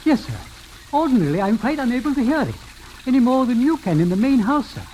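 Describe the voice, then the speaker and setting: Casting: blackmailing servant, deferential and precise with a swooping melody, vintage radio drama, slightly noisy recording. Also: male voice, very high-pitched, sing-song, darkish tone. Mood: slyly insinuating. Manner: formal, obsequious, measured hesitations. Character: deferential, sly blackmailing manservant, coolly polite. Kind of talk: 1940s radio acting.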